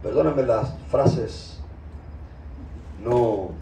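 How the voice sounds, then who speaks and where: A man's voice: medium pitch, very slow, creaky, mellow, a little hiss or hum. A man with a Cuban accent giving an impassioned, informal sermon with loose conversational asides. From Cuba. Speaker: spiritual teacher. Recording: roomy echo, distant mic.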